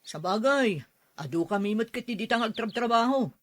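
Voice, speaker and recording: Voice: mid-range voice, animated, normal speed, a bit bright, slight background noise, lightly acted. An actor playing a protective working miner, a man, in a Filipino audio drama. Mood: reassuring. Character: friendly working male miner, protective of a boy.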